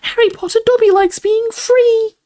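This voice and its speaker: A woman voicing an eager, servile little male house elf in a storybook, with a squeaky, shrill voice and broken grammar, speaking of himself in the third person. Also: steady tone, moderate pace, dark tone. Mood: delighted, eager.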